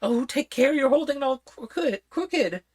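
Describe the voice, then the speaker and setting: Lady putting on a squeaky flustered old queen, voice swoops all over, storybook. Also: expressive, a little slow, darkish tone. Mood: fussing. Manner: high fluttery shrieks, scatterbrained. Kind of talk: storybook reading.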